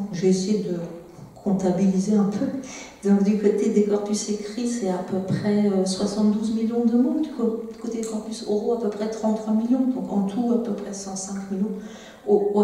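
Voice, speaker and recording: A woman's voice: medium pitch, warm tone, recorded from a distance. A woman giving an academic talk at a symposium, hesitant, with frequent fillers and restarts. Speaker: conference speaker. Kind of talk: academic presentation. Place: large lecture hall. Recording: echoey lecture hall from afar.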